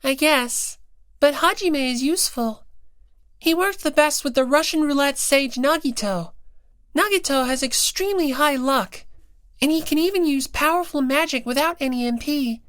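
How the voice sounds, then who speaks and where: A woman's voice: sits high, moderate pace, no echo, clean studio sound, voice pushed up, lightly acted. An audiobook reader, a woman's voice, playing a sweet, matter-of-fact teenage girl who loves games. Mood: calm, matter-of-fact. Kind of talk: audiobook character acting.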